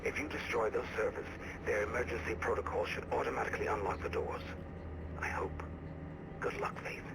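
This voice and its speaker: A man's voice: fairly low pitch, steady tone, fairly quick, creaky. An actor playing a helpful, urgent male ally guiding a runner in a video game. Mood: urgent, concerned. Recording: game audio under loud noise.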